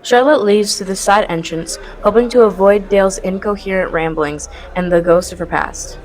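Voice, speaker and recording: A woman's voice: mid-range voice, normal speed, lightly acted, low-quality recording. A woman narrating a comic student radio play from a script. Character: storyteller of a comic melodrama.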